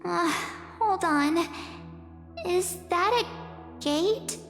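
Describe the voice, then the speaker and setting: A woman's voice: extremely high pitch, extremely slow, fairly bright tone, exaggerated voice. A woman voicing a cheerful, easily flustered teenage girl in a video game, dramatic and stammering when nervous. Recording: echoey long reverb, music behind.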